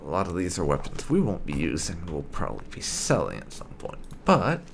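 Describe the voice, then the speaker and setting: A man hosts a casual game playthrough in a low voice with plenty of creak. His pitch swings widely as he talks through his choices, and there is faint music behind him. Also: huge pitch swings, fairly quick, a little hiss or hum. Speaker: gaming channel host.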